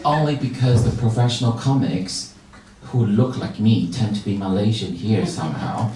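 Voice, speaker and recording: A man's voice: lowish voice, normal speed. Camp Taiwanese-accented man doing stand-up. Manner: camp, bitchy, deadpan asides. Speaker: stand-up comedian. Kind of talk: stand-up comedy set. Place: comedy club stage with a laughing crowd. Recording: echoey club, distant mic, loud crowd noise.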